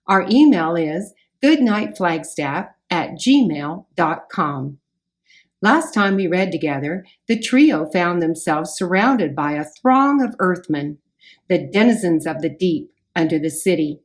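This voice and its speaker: A woman's voice: lowish voice, a little mellow. A woman reading a scripted introduction and storybook narration aloud as a volunteer reader.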